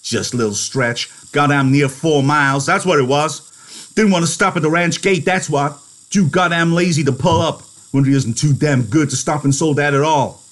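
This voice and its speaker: A man voicing a small, angry ranch hand from a novel, scolding in rough rural slang. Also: medium pitch, fast, pitched above the performer's own voice, natural voice. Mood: angry, sharp. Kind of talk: dramatised novel reading.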